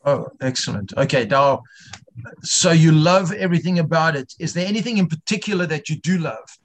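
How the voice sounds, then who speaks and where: A man with a fairly high voice leads an informal online class. He talks haltingly, repeats himself and builds his points through questions to the students. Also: lively intonation. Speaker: teacher of an online religious class.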